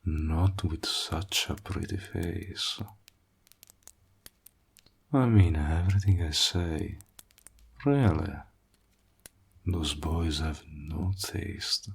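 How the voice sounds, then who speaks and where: Casting: male actor as a silky warlock host for intimate audio roleplay. Deep, extremely unhurried, soft-spoken, gracious and flirty with quiet menace. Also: heavily acted. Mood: flattering, teasing. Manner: soft-spoken, breathy, slow and deliberate, flattering. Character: suave, courteous male warlock, hospitable, flirtatious, slyly menacing.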